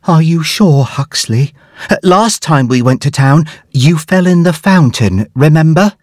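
A man's voice: sits high, expressive, unhurried, a little mellow, dry recording, studio quality, pitched above the performer's own voice. Man doing a fretful, cautious storybook friend. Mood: worried. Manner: careful, fretful. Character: cautious, worried male friend.

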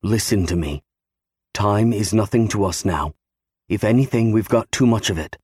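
A man's voice: lowish voice, normal speed, darkish tone, husky, no room sound. A man voicing a steadfast young navy serviceman, earnestly reassuring his wife in an audiobook. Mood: earnest reassurance. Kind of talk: audiobook character acting.

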